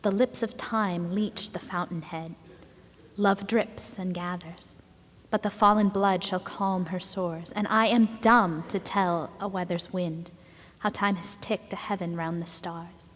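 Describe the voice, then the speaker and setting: A woman with a lowish voice recites verse dramatically. Her delivery is grave and deliberate, and the hall gives it a long reverb. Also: steady tone. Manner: solemn, deliberate. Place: large indoor hall.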